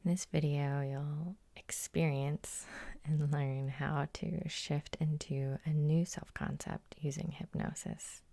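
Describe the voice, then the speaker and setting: A woman hypnotherapist guiding an ASMR sleep hypnosis in a soft, soothing half-whisper with slow, lingering phrases. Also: deep voice, steady tone, vocal fry, no room sound. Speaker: hypnotherapist and host.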